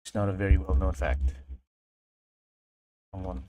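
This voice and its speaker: A man's voice: deep voice, completely flat delivery, a little slow, warm tone, slight background noise. A young man from Indonesia, chatting casually on a livestream while he works, often mumbling to himself and trailing off. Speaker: streamer.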